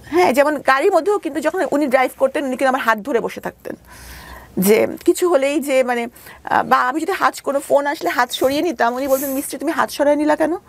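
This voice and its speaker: High-voiced, creaky Bangladeshi woman telling her story. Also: fast. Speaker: interview guest telling her life story; doctor. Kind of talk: candid personal storytelling in a radio interview. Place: radio studio.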